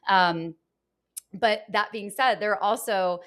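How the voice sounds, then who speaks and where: A woman's voice: mid-range voice, slow pace, fairly bright tone. A woman from Atlanta, Georgia, talking frankly and expressively as a guest on a podcast, often laughing. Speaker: guest, producer. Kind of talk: candid podcast conversation.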